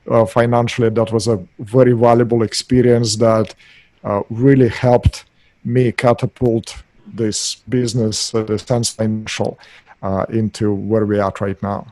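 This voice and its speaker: A middle-aged man with a Russian accent, a podcast guest, talking haltingly with many hesitations. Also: fairly low pitch, darkish tone, no echo. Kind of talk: podcast interview.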